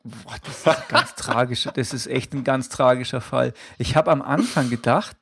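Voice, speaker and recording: A man's voice: medium pitch, normal speed, vocal fry, fairly bright tone, slightly rough, dry recording, studio quality. A young man co-hosting a casual football podcast, blunt and indignant, swearing freely as he complains. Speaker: podcast co-host.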